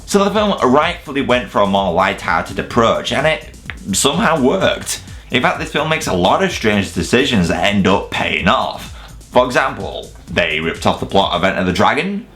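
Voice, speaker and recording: A man with a fairly deep voice narrates a scripted, opinionated review over soft background music. His delivery is very animated, wry and blunt, with casual swearing. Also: normal speed. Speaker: video reviewer presenting a top ten list.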